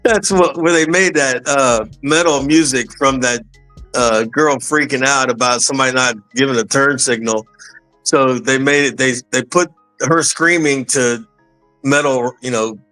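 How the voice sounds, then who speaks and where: Bright, dry-sounding offhand man. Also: mid-range voice, a little slow, no room sound, soft background music, low-quality recording. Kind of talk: casual crypto livestream chat.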